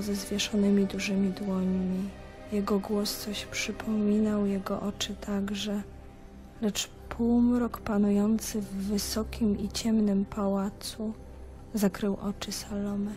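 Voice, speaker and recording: Aktorka jako powolna, jednostajna, smutna młoda księżniczka. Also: female voice, mid-range voice, little pitch movement, takes it slowly, warm tone, soft background music, close to an everyday voice. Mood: solemn, mournful. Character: grieving young princess telling her tale in third person. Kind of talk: storytelling in a radio drama.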